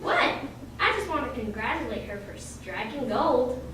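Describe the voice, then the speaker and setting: A woman's voice: high-pitched, animated, normal speed. A woman playing a brash, gushing young party guest in a radio play, recorded in an echoey room. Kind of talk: amateur radio play acting. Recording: very echoey room, distant mic, noisy.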